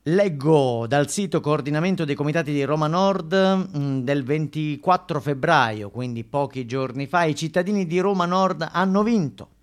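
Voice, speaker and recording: A man's voice: a little high, animated, normal speed, few pauses, close to an everyday voice. A man playing a formal TV news anchor, reading scripted reports in an announcer-like way for a radio play. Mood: excited, pleased.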